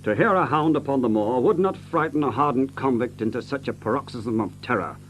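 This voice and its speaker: An actor playing a shrewd, commanding gentleman detective in an audio drama, with an incisive, precise delivery. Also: medium pitch, brisk, slight background noise, natural voice. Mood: grave deduction.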